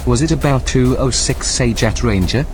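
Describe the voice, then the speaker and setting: A man playing a calm, precise artificial intelligence that runs a research station in an audio drama, speaking in a measured, formal, deadpan way. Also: mid-range voice, noisy background, close to an everyday voice. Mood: businesslike.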